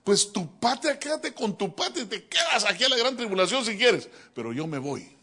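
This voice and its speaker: A man preaching a sermon as a pastor, animated and joking, often addressing his listeners as brother. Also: high voice, normal speed, husky. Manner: animated, joking, keeps calling listeners "hermano". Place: church.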